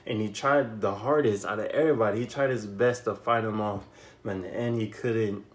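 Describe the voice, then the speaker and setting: A young man giving a casual, unscripted movie recap, telling it in an animated way with repeated phrases and slangy asides. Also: on the low side, fairly quick. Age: young adult. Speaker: YouTube film reviewer. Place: small bedroom, indoors.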